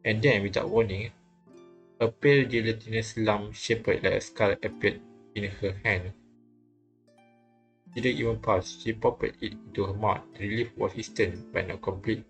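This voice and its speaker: A man reading visual novel text aloud in a halting way, often stumbling and repeating phrases. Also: lowish voice, steady tone, creaky, faint music behind. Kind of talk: halting read-aloud narration of game text.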